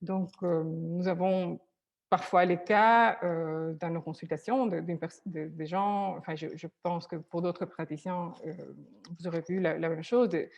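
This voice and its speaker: A woman's voice: lowish voice, steady tone, unhurried, darkish tone, muffled recording. A woman therapist whose first language is Spanish, speaking hesitantly with many restarts and fillers.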